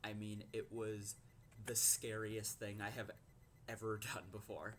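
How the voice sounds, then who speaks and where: A man's voice: monotone, unhurried, a bit bright, a little hiss or hum. Hesitant teenage boy telling his story. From Minnesota. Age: teens. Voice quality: low voice. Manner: hesitant, many ums and likes. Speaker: teen vlogger. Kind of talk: personal story to camera.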